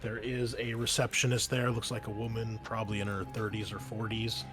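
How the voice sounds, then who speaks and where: A man's voice: on the low side, little pitch movement. Casual male game master over music. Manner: casual, conversational, halting narration. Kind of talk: narrating a tabletop roleplaying game.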